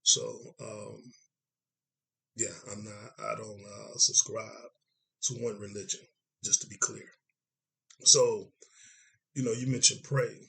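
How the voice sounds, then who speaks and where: A man from Dallas, Texas hosting a podcast, hoarse from congestion, talking reflectively with frequent pauses. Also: on the low side, little pitch movement, a bit bright, poor audio. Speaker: podcast host. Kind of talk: casual conversation.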